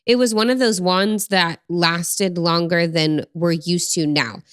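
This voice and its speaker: Upbeat chatty woman, smooth dry voice. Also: on the low side, unhurried, a bit bright, no echo. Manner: upbeat, chatty, laughs easily. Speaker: podcast co-host. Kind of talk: casual chat.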